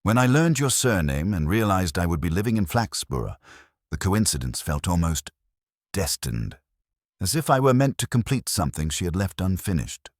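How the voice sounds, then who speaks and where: Man voicing a troubled young wife, low and husky. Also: sing-song, moderate pace, no echo, clean studio sound. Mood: confiding. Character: anxious young married woman, candid and troubled. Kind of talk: scripted mystery story dialogue.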